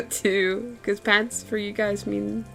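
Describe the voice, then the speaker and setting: A woman's voice: mid-range voice, little pitch movement, drawn-out words, gravelly, soft background music. A woman whose first language is Afrikaans, chatting casually and laughing often while she draws on a live stream. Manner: chatty, giggly, lots of fillers like "like". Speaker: artist streaming a drawing session. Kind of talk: casual chat while drawing.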